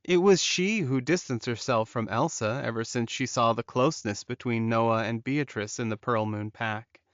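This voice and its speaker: A man's voice: mid-range voice, normal speed, a bit bright, no echo, muffled recording. A man reading scripted fiction as an audiobook narrator.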